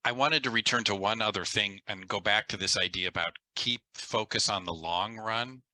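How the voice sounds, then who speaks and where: Deep-voiced man, webinar host; crisp bright tone, dry close sound, measured delivery. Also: dry recording, poor audio. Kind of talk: webinar discussion. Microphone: video call.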